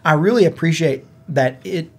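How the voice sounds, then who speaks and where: A man's voice: on the high side, normal speed, a little mellow, husky. A man co-hosting a gaming podcast in a casual, conversational way, with "like" sprinkled through his talk. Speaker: podcast co-host.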